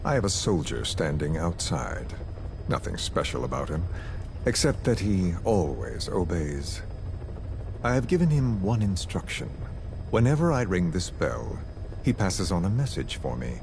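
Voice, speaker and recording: Game villain: cold, calculating interrogator, very deep, highly expressive delivery, menacing courtesy. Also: male voice, vocal fry, noisy background, exaggerated voice. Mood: calm menace. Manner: measured, menacing, falsely polite, theatrical. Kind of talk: dramatic video game voice acting.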